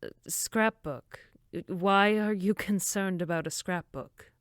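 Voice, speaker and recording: A woman's voice: medium pitch, slow pace, clean tone, no room sound, clean studio sound, natural voice. A woman playing a fussy, dismissive summer camp director in a horror audio drama, with prim, wordy phrasing. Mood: unconcerned.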